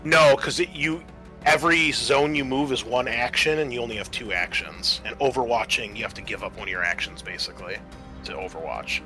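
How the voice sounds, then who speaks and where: A man's voice: medium pitch, brisk, pushed and bright, soft background music, low-quality recording. A man acting as game master in a tabletop roleplaying game, explaining rules and turns to players.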